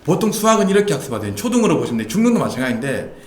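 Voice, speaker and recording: A man's voice: medium pitch, very animated, rapid-fire, reverberant. A man from Seoul, a guest expert, patiently explaining how children should study math in a casual talk show. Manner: patient, explanatory, slightly nervous. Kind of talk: explaining study methods in a chat.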